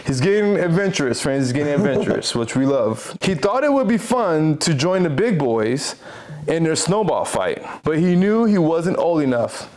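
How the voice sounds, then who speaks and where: A man's voice: a little high, big pitch swings, normal speed, a little mellow. An American man from Philadelphia co-hosting a children's read-aloud, enthusiastic and reassuring, repeating phrases in chatty asides. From Philadelphia, United States. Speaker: co-host reading a children's picture book.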